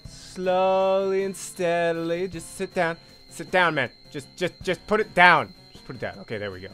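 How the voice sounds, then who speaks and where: Young male gaming host, high-pitched and bright, excitable and exclamatory, repeats himself mid-play. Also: moderate pace, faint music behind. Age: young adult. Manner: animated, exclaiming, repeats phrases while playing. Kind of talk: excitable let's play commentary. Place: small indoor room at home. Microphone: close desk microphone, headset on.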